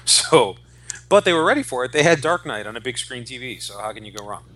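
A man in his forties with a bright, crisp-edged voice of average male pitch. He co-hosts a podcast in a casual, enthusiastic style and swears freely. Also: big pitch swings, fast talker. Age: 40s. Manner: profane, enthusiastic. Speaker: podcast co-host. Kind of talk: casual geek-culture chat.

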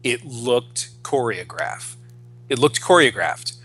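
A man in his forties with a bright, forceful voice at a middling pitch. He talks as a casual podcast co-host, opinionated and emphatic, doubling back on phrases for stress and dropping swear words freely. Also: expressive, takes it slowly, breathy edge. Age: 40s. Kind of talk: casual opinionated pop culture discussion.

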